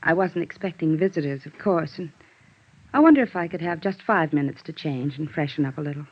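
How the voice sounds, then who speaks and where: An actress playing a guarded, strained widow under suspicion in a vintage radio detective drama. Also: female voice, low-pitched, animated, fairly quick. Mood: softened, cooperative. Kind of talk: 1950s radio drama acting. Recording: dull vintage radio recording.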